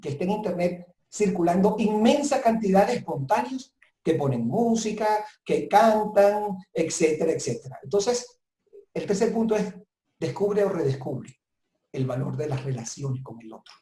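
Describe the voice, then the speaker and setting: A man's voice: high-pitched, animated, normal speed, dark tone. A man speaking as a guest consultant in a webinar interview, giving advice in an emphatic, reflective way. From southern Italy. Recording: roomy, echoing sound.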